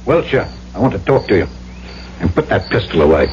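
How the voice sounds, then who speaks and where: An actor playing an uneasy, stubborn island trader who narrates and questions dramatically in an old-time radio drama. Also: male voice, lowish voice, big pitch swings, brisk, a little mellow, a little hiss or hum. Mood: agitated, demanding. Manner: dramatic first-person storytelling and pointed questioning. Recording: muffled old radio broadcast with music.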